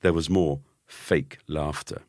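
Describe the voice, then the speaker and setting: A man reading a scripted children's story as narrator, with an expressive, wry delivery. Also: very deep voice, takes it slowly, no echo, studio quality. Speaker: audiobook narrator.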